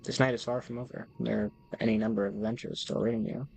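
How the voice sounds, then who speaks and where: A man's voice: medium pitch, steady tone, quick pace, gravelly, a little mellow, faint music behind, close to an everyday voice, rough recording. A man voicing a formal, devoted, possessive visual novel narrator, speaking straight to the player in a courtly way. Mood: teasing. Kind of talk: reading game text aloud.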